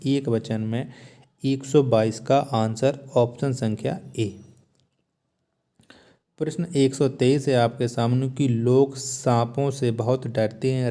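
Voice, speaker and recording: A man gives an exam-prep lesson in a mid-pitched voice. His delivery is slow and methodical. He reads out each question, asks it again rhetorically, and repeats the key answer. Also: mellow. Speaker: Hindi grammar teacher for exam prep. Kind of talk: lesson, reading out quiz questions and explaining answers.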